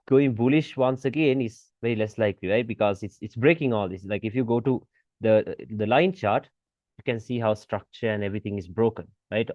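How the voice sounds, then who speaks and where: A man's voice: lowish voice, dry recording. Man teaching informally, slightly muffled dry recording. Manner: repetitive, checks understanding with rhetorical questions. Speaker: forex trading instructor. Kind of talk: informal screen-share tutorial commentary.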